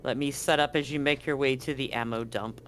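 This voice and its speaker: A man's voice: medium pitch, fairly even intonation, a little fast, a bit bright, soft background music. A man narrating as game master in a tabletop game, loose and casual with many fillers and restarts.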